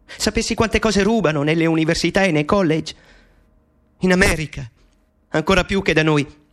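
A man playing a high-voiced eccentric young mathematician. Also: normal speed, fairly bright tone, natural voice. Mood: playful. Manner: intimate, playful, nervously eccentric. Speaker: actor playing Alan Turing. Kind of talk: theatrical radio monologue. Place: studio.

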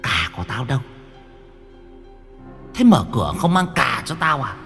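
A man's voice: medium pitch, very animated, moderate pace, a bit bright, big-room sound, faint music behind. A man voicing a ghostly old village woman who shrilly and insistently demands something. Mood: menacing.

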